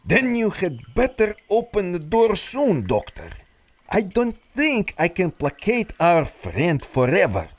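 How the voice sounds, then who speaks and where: A man's voice: high voice, huge pitch swings, unhurried, full character voice. An actor playing a suave, scheming male villain who speaks in a condescending, theatrical way. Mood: smug.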